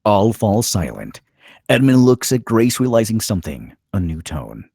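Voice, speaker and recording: A man's voice: fairly low pitch, very animated, moderate pace, vocal fry, dark tone, slightly rough, dry recording, studio quality. A man narrating stage directions dramatically at a screenplay table read.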